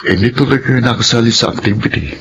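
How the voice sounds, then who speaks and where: A man's voice: mid-range voice, quick pace, noisy background, natural voice. An actor with a Filipino accent playing an earnest, remorseful father who pleads after a long absence, in a melodrama. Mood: remorseful, pleading. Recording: dull lo-fi sound, music behind.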